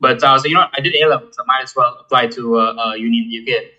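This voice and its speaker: A young man in his twenties from Malaysia, a guest in a casual interview, talking in a halting way with many fillers and restarts. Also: mid-range voice, sharp tone, highs cut off. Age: 20s. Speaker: guest, student founder.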